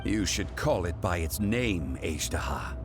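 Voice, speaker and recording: A composed god who speaks with formal dignity. His pitch moves lively through a middle register. Game music plays behind. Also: a little slow. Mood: stern. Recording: game audio under music.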